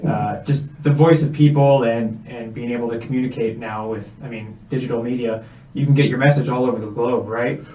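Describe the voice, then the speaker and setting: A man's voice: medium pitch, steady tone, fairly quick, warm tone, reverberant, far from the mic, poor audio. A young man in his twenties from Kentucky, a guest on a radio show, speaking articulately with frequent filler words. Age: 20s. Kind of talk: radio interview. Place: radio studio.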